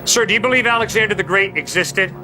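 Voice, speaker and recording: A man with a distinctly high voice argues like an impassioned street apologist. He is emphatic and colloquial, leaning on rhetorical questions. Music plays clearly behind him. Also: fast talker. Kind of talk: impassioned open-air apologetics debate.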